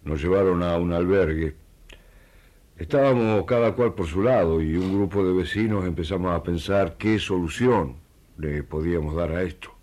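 A man's voice: low-pitched, darkish tone. A man from Argentina, giving first-person testimony as a community member in a storytelling style.

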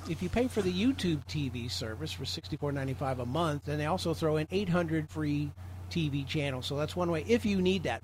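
An American man giving an informal, rambling explanatory talk to a group as a presenter in an online meeting. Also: on the high side. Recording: clearly noisy online meeting audio.

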